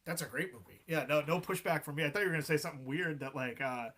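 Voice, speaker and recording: A man in his thirties, a guest on a podcast, chatting in a relaxed way with frequent laughs and fillers. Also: fairly high pitch, quick pace. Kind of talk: casual chat.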